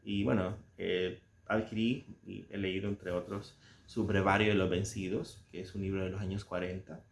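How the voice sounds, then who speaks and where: A man from El Salvador with a Salvadoran Spanish accent, talking casually and reflectively about a book he loves, searching for words with soft chuckles. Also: deep voice, flat delivery, moderate pace, gravelly, echoey room, a little hiss or hum. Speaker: book reviewer. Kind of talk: casual spoken book commentary.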